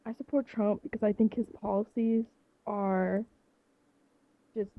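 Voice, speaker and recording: An eighteen-year-old girl calling into a stream, speaking quietly and unsurely, often trailing off. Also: mid-range voice, fairly even intonation, unhurried, dry recording. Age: teens. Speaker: caller. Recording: dull muffled mic.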